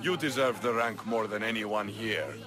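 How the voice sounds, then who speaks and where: A man playing a proud, heartfelt young Spartan soldier, earnest and expressive, in a video game cutscene. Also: on the low side, moderate pace, music in the background, natural voice. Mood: relieved pride.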